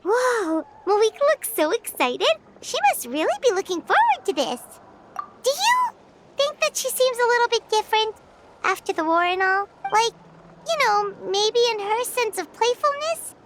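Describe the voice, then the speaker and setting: Squeaky little game sidekick, super high and chatty, big swoopy voice, loud music behind. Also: female voice, normal speed, very noisy. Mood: curious. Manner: excitable, exclamatory. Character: tiny floating female companion, excitable and chatty. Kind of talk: video game voice acting.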